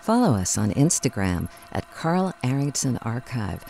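A man's voice: medium pitch, big pitch swings, measured pace, gravelly, a little mellow, poor audio. A man reading a scripted podcast announcement. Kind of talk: scripted narration.

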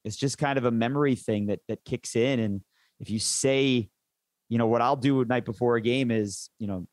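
A man's voice: mid-range voice, fairly even intonation, moderate pace, a little mellow, dry recording, clean studio sound. A man who works as a sports play-by-play announcer, chatting casually and enthusiastically in an interview, rambling into detail.

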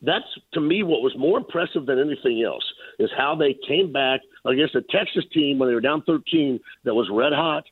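A man analysing basketball as a guest on a sports radio show, emphatic and repetitive, his voice a little hoarse. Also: medium pitch, animated, moderate pace, hardly any pauses, dry recording. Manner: emphatic, repeats key points. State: losing his voice, slightly hoarse. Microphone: phone line. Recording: tinny phone line.

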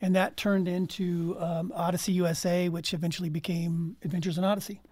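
A woman's voice: on the low side, little pitch movement, brisk, darkish tone, husky, no echo. A woman talking as a guest in a casual panel discussion, explaining things conversationally. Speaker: guest from an audio drama team.

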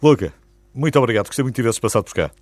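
A man's voice: mid-range voice, expressive, very fast, a little mellow, dry recording. A Portuguese man with a European Portuguese accent, hosting an interview with playful questions and frequent laughter. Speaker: radio host.

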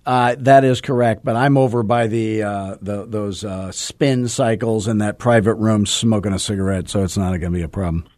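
A man's voice: fairly low pitch, fairly even intonation, moderate pace, few pauses, a little mellow, no echo, clean studio sound. A man hosting a sales coaching call-in show, blunt and wryly teasing his caller.

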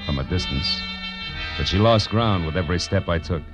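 An actor playing a wry, world-weary American private detective in a terse, sardonic 1940s radio noir style. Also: male voice, low-pitched, expressive, moderate pace. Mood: wry narrating. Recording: muffled old radio recording, music behind.

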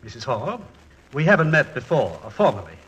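An actor playing a fussy, tactless man who talks in halting, pointed phrases, in 1940s radio style. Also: mid-range voice, wildly swooping pitch, fairly bright tone, slightly rough, muffled recording, full character voice. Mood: prickly, condescending. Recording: vintage 1940s radio recording.

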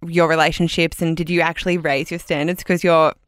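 A woman's voice: sits low, level tone, fairly quick, clear, resonant tone. A woman interviewing a guest, prompting with questions and short affirming murmurs. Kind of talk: conversational interview.

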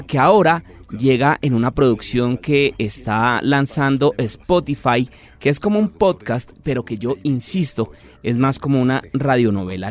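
A man hosting and interviewing in a radio style, emphatic and formal with his guest. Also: medium pitch. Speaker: podcast host and interviewer. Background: music.

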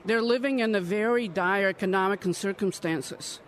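Woman, fifties, earnest, measured, interview answers. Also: mid-range voice. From Ukraine. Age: 50s. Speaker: congressional candidate. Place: political conference.

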